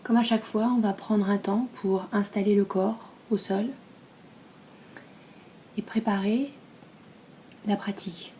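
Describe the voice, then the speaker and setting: Female yoga nidra guide, French accent, dark relaxed tone, calm and soft with long pauses, slight background noise. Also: medium pitch. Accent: French from France. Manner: soft, breathy, calm and soothing delivery; unhurried, with long pauses between phrases.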